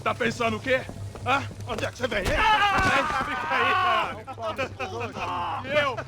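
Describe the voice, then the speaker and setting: A man's voice: very high-pitched, brisk. An actor playing a furious, foul-mouthed Brazilian man hurling threats in a video game cutscene. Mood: furious, taunting. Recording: distant, under loud crowd and music. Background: loud crowd and music.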